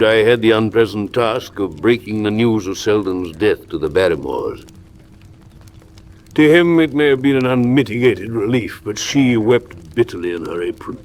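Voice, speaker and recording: A man acts a sober gentleman narrating a radio drama. His voice is on the low side, literate and formal, with measured phrasing. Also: close to an everyday voice.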